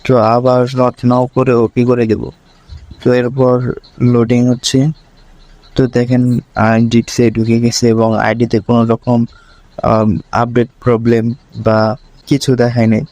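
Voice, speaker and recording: A man walks through a tutorial one step at a time. His medium-pitched voice stays very even, with little melody. He repeats each step as he moves to the next, and the recording is dry, with no room sound. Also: unhurried, long pauses, no echo, low-quality recording. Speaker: tutorial presenter.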